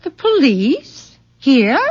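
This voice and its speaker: An actress playing a gracious, controlling mother in an old radio drama, speaking with measured, genteel courtesy. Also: high voice, big pitch swings, slightly rough, heavily acted. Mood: controlled, probing. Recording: dull lo-fi old radio recording.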